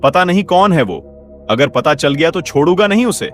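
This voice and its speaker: A man's voice: fairly high pitch, expressive, moderate pace, soft background music, lightly acted. A man voicing an indignant, blustering village householder in a cartoon story.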